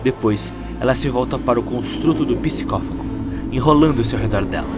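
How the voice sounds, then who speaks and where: A man giving dramatic scripted narration of a cyberpunk story as its narrator. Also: mid-range voice. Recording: music behind the voice.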